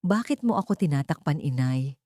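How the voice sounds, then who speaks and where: A woman's voice: low-pitched, lively intonation, brisk, dark tone, no room sound, clean studio sound. A woman voicing a cold, resentful ghostly little girl from a horror story, accusing and insistent.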